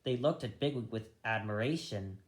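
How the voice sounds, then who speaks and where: A story reader narrating a storybook in an animated, deliberate way. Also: medium pitch. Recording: roomy echo.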